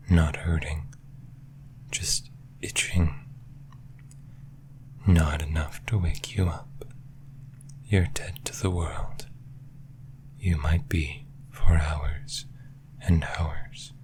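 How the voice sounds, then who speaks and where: A man voicing a possessive, taunting yandere demon in a breathy, menacing whisper, in the style of an ASMR roleplay. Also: sits low, steady tone. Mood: mocking, teasing. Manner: slow, menacing, teasing.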